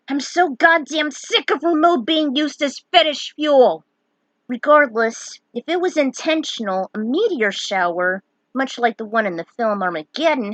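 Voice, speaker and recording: A woman's voice: high voice, pushed and bright, no room sound, low-quality recording. A woman giving casual, opinionated commentary as a fan fiction author, with a blunt way of talking. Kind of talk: casual author's commentary with some story reading.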